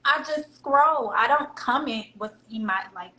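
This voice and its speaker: A woman's voice: high voice, lively intonation, unhurried, pushed and bright. Guest: chatty, candid Southern American woman, echoey room with a faint hum underneath. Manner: candid, chatty, laughs often. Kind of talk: casual interview chat.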